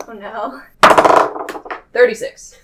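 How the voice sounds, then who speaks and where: A woman's voice: fairly high pitch, fairly even intonation, slow, very noisy. A woman chatting casually and jokily as a player in a tabletop game. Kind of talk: casual chat, joking.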